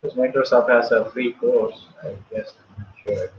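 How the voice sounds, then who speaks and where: A man's voice: medium pitch, fairly even intonation, normal speed, roomy sound, muffled recording, a little hiss or hum. A man with an Indian accent, casually leading a web development workshop over a call, with many fillers and restarts. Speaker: workshop guest speaker. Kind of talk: live online coding workshop.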